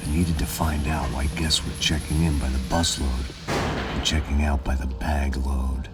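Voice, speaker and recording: An actor playing a world-weary, cynical middle-aged American ex-cop in a noir video game, with a sardonic, terse delivery. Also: male voice, rumbling low, fairly even intonation, moderate pace. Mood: bitter, brooding. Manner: sardonic, hard-boiled, terse. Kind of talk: noir game dialogue and narration. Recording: loud music behind the voice.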